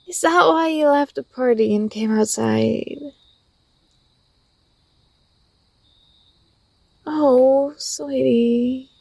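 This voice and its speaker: A young woman playing an affectionate, teasing university student in an intimate ASMR roleplay, speaking softly with a tender, hesitant delivery. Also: fairly high pitch, vocal fry, dry recording, exaggerated voice. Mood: gentle reassuring teasing.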